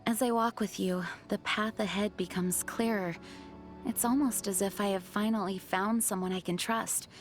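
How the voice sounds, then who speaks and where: A woman voicing an earnest, dutiful young knight commander in a video game, speaking in a formal, measured way. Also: mid-range voice, fairly even intonation, faint music behind, natural voice. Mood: gracious, warm. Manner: formal, measured, solemn diction. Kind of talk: video game character voice lines.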